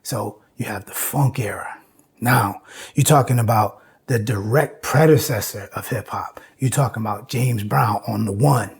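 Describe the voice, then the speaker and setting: A man's voice: fairly low pitch, a little slow, breathy edge. A man giving an informal, explanatory lecture as host, emphatic and repetitive, often checking in with "right?". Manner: emphatic, repeats phrases, frequent "you know" and "right?".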